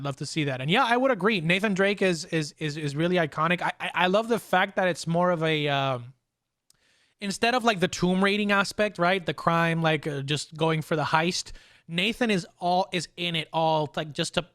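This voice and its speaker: A man's voice: fairly high pitch, normal speed, a bit bright, no room sound, clean studio sound. A young man hosting a casual pop culture discussion, talking enthusiastically in informal, looping, hesitant phrases. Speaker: podcast host.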